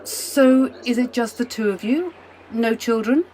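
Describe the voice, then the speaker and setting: A woman's voice: unhurried, dark tone, lightly acted, low-quality recording. A woman playing a polite, talkative, slightly apologetic British middle-class traveller in a radio play. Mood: friendly. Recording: faint noise, train rumble.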